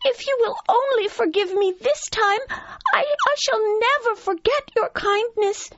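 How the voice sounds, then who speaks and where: A woman voicing a tiny, earnest male mouse in a children's fable, with a thin squeaky voice and a pleading, urgent manner. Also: sing-song, slow pace, bright, forceful tone, no echo, highs cut off, heavily acted. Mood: frightened pleading.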